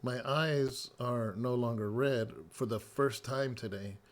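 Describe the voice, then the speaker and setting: A man with a slightly hoarse, raspy voice, still getting it back after a cold. He speaks at a plain middle pitch, talking loosely and personally to his congregation, with lots of "um" and "you know". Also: slow. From California. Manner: relaxed, rambling storytelling with many fillers. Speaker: pastor. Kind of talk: casual spoken devotional update.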